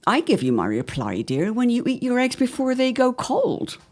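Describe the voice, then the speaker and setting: A woman's voice: fairly high pitch, huge pitch swings, moderate pace, a little mellow, full character voice. A woman playing an anxious middle-aged wife of the 1910s in an audio drama, speaking in a formal, old-fashioned, earnest way. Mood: brisk, fond. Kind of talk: period audio drama acting.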